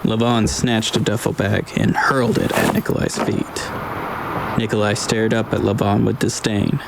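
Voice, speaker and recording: A man reading scripted dramatic audiobook narration in a measured, deliberate way. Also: on the low side, wildly swooping pitch, gravelly, very noisy. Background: ambient scene sound effects.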